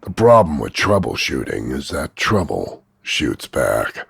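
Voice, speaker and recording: A man voicing a cocky, crude macho action hero, gravelly and husky, delivering smug deadpan one-liners in a slow drawl of punchlines. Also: extremely low pitch, no room sound, clean studio sound, exaggerated voice. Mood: deadpan, mocking. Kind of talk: voice-over demo of insults and one-liners.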